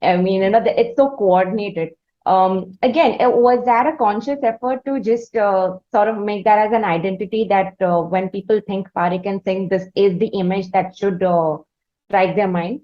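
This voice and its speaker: Woman, medium pitch, halting wordy interviewer, muffled lo-fi recording. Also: fairly even intonation. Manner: deliberate, wordy, halting phrasing. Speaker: interviewer, podcast host. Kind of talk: conversational interview.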